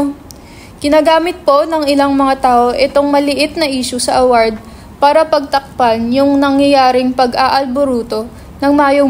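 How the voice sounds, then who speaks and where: A brash young male writer acted out by a woman in a staged script reading, with a very high voice. He is slangy, insistent and quick to swear. Faint room noise sits behind the voice. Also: darkish tone. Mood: excited. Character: brash, foul-mouthed young male writer running a website.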